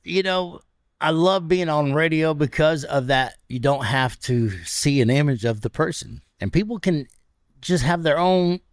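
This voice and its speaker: A man in his fifties with a deep, raspy voice, a radio personality telling stories with laughs in a casual interview. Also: unhurried, no room sound, clean studio sound. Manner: animated, laughs between stories. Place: stadium club room.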